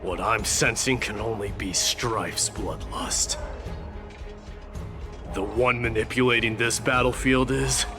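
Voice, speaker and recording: Deep-voiced stern warrior prince. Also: male voice, steady tone, over music. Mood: grave, thoughtful. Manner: measured, grave, guarded. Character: proud exiled warrior prince, stern and battle-hardened. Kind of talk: video game voice acting.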